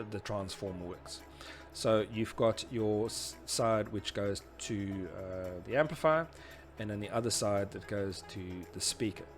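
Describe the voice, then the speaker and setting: A man in his forties giving an informal technical tutorial in a halting, explanatory way. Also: fairly low pitch. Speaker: presenter teaching audio engineering. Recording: music behind the voice. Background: background music.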